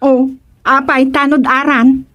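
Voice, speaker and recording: A woman's voice: sits high, little pitch movement, slow, dull audio. A woman with an Ilocano accent playing a proud, opinionated village councilwoman in a radio comedy drama. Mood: proud, teasing. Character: proud, outspoken village councilwoman championing her sector.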